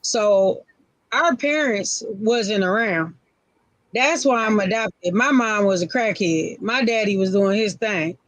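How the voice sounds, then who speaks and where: A woman's voice: medium pitch, slow pace, dry recording, rough recording. A woman in her forties speaking frankly on a casual live-stream panel, in short, repeated phrases. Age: 40s.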